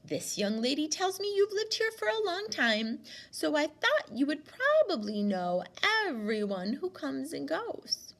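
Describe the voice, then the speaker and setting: A woman's voice: high-pitched, sing-song, measured pace, hardly any pauses, fairly bright tone, voice pushed up, exaggerated voice. A woman voicing a courteous, mysterious man in a storybook, speaking in a light, mannered, politely probing way. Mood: flattering. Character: courteous, smooth, mysterious tall man in yellow suit.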